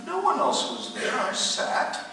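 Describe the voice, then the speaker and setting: Guy playing a melancholy dead writer on a radio play, formal and theatrical, pitch all over, very echoey. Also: wildly swooping pitch, measured pace, sharp tone, heavily acted. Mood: haunted, uneasy. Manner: theatrical, formal old-fashioned phrasing. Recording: echoey hall from far off, faint hum.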